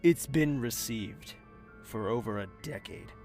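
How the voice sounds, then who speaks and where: An actor in an audio drama playing a proud, unrepentant prince with a cool, haughty, commanding manner. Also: male voice, medium pitch, unhurried, darkish tone, natural voice. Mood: cool, defiant. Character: proud, defiant, unrepentant young prince and viceroy. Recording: dramatic music behind the voice. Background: music.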